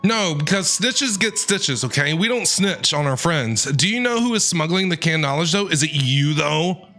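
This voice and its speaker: A man's voice: on the high side, animated, moderate pace, pushed and bright, soft background music. A man livestreaming casual game commentary, playfully talking back to the characters in slangy speech.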